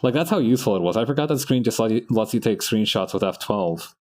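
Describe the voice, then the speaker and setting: Chatty young man, low voice, lively swings. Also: quick pace. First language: Spanish. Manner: chatty, meandering, laughs often, trails off mid-sentence. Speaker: artist streaming practice. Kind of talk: casual rambling commentary while drawing.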